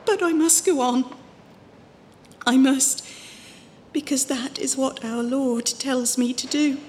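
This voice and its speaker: Woman playing a high-voiced, fervent religious visionary. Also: fairly even intonation, slow, darkish tone. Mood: fervent, troubled. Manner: earnest, emotional, pious. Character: fervent, fearful wandering female religious visionary. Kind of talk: audio drama acting. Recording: reverberant hall, faint background noise.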